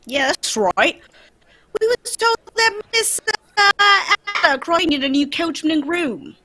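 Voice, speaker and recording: Woman playing a high-voiced, confident male detective. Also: animated, takes it slowly, sharp tone, full character voice, low-quality recording. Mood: chummy. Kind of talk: old-time radio drama script read live.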